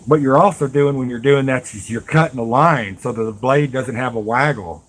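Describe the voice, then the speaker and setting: A man's voice: medium pitch, fairly quick, a bit bright, poor audio. Casual, folksy man rambling over background noise. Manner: rambling, folksy, addresses viewers directly. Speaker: live streamer and knife maker. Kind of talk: casual live stream chat while working.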